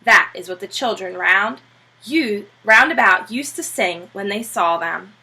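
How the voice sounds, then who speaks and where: A woman reading a children's book aloud as a teacher, expressive and emphatic, with brief asides to listeners. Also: mid-range voice, a little slow, low-quality recording.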